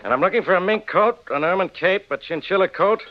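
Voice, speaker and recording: Fast, brusque 1940s radio inspector. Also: male voice, high-pitched, lively intonation, noisy background. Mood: accusing, sardonic. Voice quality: blunt, badgering delivery. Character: brusque, suspicious, sarcastic male police inspector. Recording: muffled 1940s radio recording.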